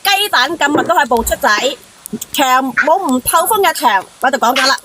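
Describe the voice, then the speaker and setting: Noisy call, woman guest, high pitch, cautionary, moralising advice. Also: expressive, brisk. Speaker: guest caller giving advice. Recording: noisy phone call with clicks.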